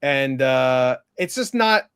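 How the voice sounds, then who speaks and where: A man's voice: mid-range voice, animated, slow, a bit bright, clear, resonant tone, dry recording. A man in a casual movie review chat, recorded close and dry with no room sound. He talks in a rambling, blunt style and leans emphatically on his opinions.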